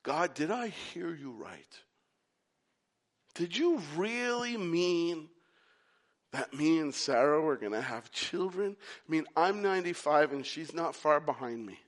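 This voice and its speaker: This is a very old man who has waited decades for a promise and keeps asking whether he heard it right, played by a preacher in his own sermon. His pleading questions come around again and again. He speaks in a high voice at an unhurried pace. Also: expressive.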